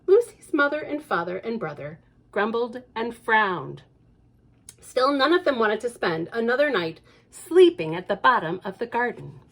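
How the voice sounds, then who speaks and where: A woman, a children's librarian, reading a picture book aloud at storytime, expressive and breaking off for chatty asides to the children. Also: fairly high pitch, moderate pace, rough recording.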